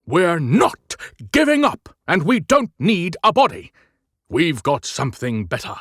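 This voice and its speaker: Audiobook Santa: male actor as older, gruff, commanding, resolute hero, very dry sound. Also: fairly high pitch, wildly swooping pitch, unhurried, fairly bright tone, dry recording, pitched above the performer's own voice, full character voice.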